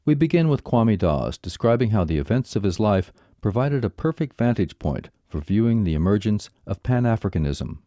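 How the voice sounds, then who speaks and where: Man, announcer, low, mellow, lively intonation, scripted, measured, dry. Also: dry recording. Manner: smooth, measured introduction. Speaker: program announcer. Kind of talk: scripted narration.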